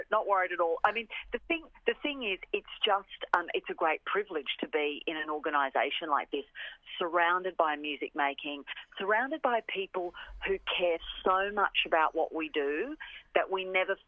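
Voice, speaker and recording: A woman's voice: low voice, dry recording. A woman speaking enthusiastically and emphatically as a radio interview guest, often repeating phrases for emphasis. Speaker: orchestra representative, interview guest. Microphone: telephone. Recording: tinny, band-limited phone line.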